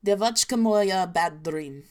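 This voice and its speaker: A woman voicing a grim, deadpan Soviet storyteller in a put-on mock Russian accent with clipped, broken grammar. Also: medium pitch, slow, pitched below the performer's own voice, lightly acted. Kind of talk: reading creepy stories aloud.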